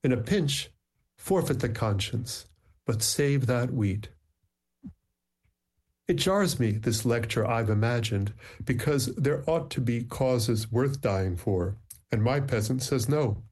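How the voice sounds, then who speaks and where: A middle-aged man with a mid-pitched voice speaks in a measured, reflective way. In conversation he hesitates with soft ums. When he reads his poems he slows right down and lets each line settle. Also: breathy edge. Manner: measured, reflective, many ums when talking, slow deliberate reading. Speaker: poet, interview guest. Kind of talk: conversation and slow poetry reading.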